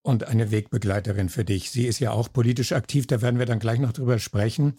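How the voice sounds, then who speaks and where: An older man from northern Germany hosting an interview podcast, with a measured, friendly way of asking questions. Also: medium pitch, fairly even intonation, mellow, slightly rough, no room sound, studio quality. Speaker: podcast host. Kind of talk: scripted intro then interview.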